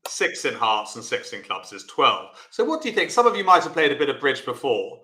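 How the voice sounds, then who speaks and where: A loud, chatty man teaches a live online bridge class, talking learners through each step in an encouraging way. His voice is bright and forceful. The room sounds echoey. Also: mid-range voice, very animated, brisk.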